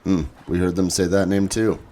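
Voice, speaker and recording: Deep, dark-voiced male privateer leader, acted. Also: little pitch movement, moderate pace, lightly acted. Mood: subdued, thoughtful. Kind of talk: fantasy audio drama acting.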